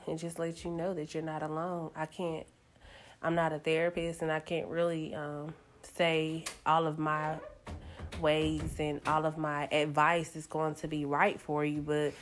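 A woman in her thirties co-hosting a casual talk show, candid and reflective, with a slightly raspy voice today. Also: sits low, slight background noise. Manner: candid and reflective, many fillers. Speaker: podcast co-host. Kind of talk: casual chat.

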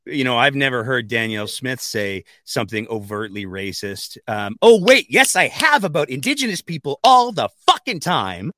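A man co-hosting a political talk podcast, making wry, sarcastic points in quick asides. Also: medium pitch, big pitch swings, bright, forceful tone, slight background noise. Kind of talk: casual political chat.

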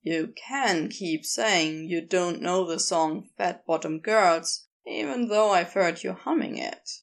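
A woman voicing a laconic, gruff but tender male supernatural being, soft-spoken and clipped in phrasing. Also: lowish voice, slow, pitched below the performer's own voice. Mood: gently firm.

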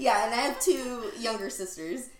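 A woman hosting a podcast, chatty and quick to laugh, interviewing a guest in the guest's home. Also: a little high, crowd noise. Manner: warm-hearted, chatty, laughs often. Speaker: podcast host. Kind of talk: casual interview conversation. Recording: echoey home room.

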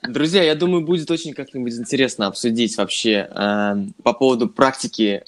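A man's voice: mid-range voice, a little fast. A man in his twenties co-hosting a casual podcast, joking and talking directly to listeners. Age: 20s. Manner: relaxed, joking, addresses listeners as friends. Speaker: podcast co-host.

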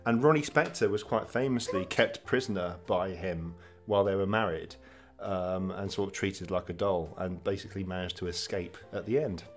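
A man's voice: low-pitched, normal speed, faint music behind. A man hosting a casual podcast, sharing facts and steering the chat with dry wit. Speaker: podcast host.

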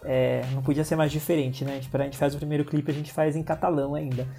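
A man's voice: on the high side, little pitch movement, fast talker, creaky, mellow, soft background music. A man with a Brazilian Portuguese accent, a filmmaker guest chatting casually about his work, with hesitant restarts and frequent laughs. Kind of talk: casual interview talk.

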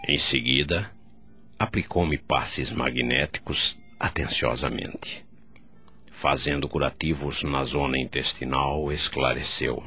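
An actor playing a remorseful doctor in the afterlife, telling his story gravely and sorrowfully in a Brazilian radio drama, with a Brazilian accent. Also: rumbling low, fairly even intonation, slow pace, pushed and bright, soft background music, heavily acted. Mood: grave narrating. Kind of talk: dramatic first-person radio narration.

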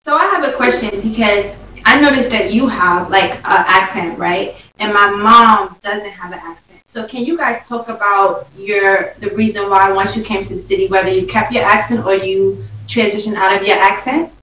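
A woman's voice: medium pitch, normal speed, few pauses, sharp tone. A woman co-hosting a podcast in a casual, informal chat style. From US city. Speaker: podcast co-host. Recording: roomy echo, distant mic, faint noise.

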